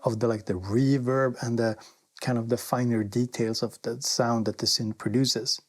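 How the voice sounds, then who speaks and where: A man's voice: medium pitch, fairly even intonation, normal speed. A man talking casually as he hosts a hands-on product demo and review. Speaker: synth reviewer and host. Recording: loud synthesizer music behind. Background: synthesizer demo sounds.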